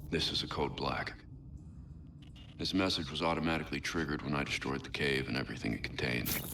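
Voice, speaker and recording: A man acting a grave, middle-aged vigilante mentor in a video game cutscene, speaking tersely and solemnly in a gravelly voice. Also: very deep voice, steady tone, brisk, noisy background. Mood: grim, resolute.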